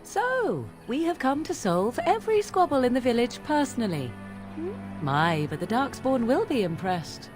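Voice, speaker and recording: A woman's voice: fairly high pitch, very animated, moderate pace. A woman playing a haughty, sardonic companion in a video game, mocking and sarcastic. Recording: music behind voice.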